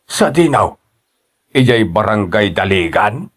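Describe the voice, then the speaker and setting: A man's voice: fairly low pitch, very animated, slow pace, heavily acted. A man with an Ilocano accent playing an older father in an audio drama, drawing out his words as he chides his son. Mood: reporting, insistent.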